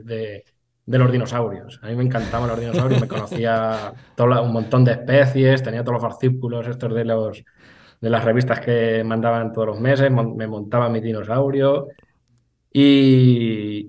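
Halting, colloquial male guest. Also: mid-range voice, darkish tone. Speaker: interview guest, forestry engineer. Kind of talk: casual conversational storytelling.

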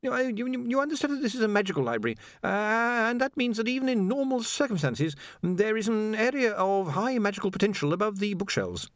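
A man's voice: sits high, sing-song, a little fast, hardly any pauses, no room sound, studio quality, voice pushed up. A man voicing a nervous young male wizard scholar who stammers and hesitates. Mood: flustered.

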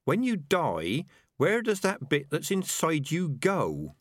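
Guy doing a naive curious storybook rat, high voice, keeps asking stuff, very swoopy. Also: huge pitch swings, slow, no echo, studio quality, full character voice. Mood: earnest, questioning. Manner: insistent, questioning. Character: naive, earnest philosophical young rat pondering the afterlife.

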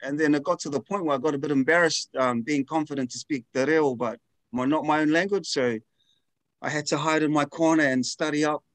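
A man's voice: a little high, steady tone, fairly quick, dry recording. Kiwi guy guesting on a podcast, earnest and candid, dry close sound. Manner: earnest, candid, self-deprecating. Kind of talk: casual podcast chat.

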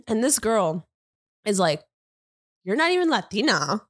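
A young woman co-hosting a casual podcast with her partner, animated and quick to laugh, whose first language was Spanish. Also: mid-range voice, dry recording, studio quality. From United States. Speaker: podcast co-host. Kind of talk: casual couple chat.

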